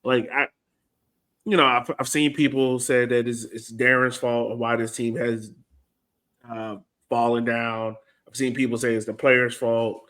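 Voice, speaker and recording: Man, American accent, average pitch, casual sports chat, informal thinking aloud, podcast co-host. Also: a bit bright. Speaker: podcast co-host and soccer supporter.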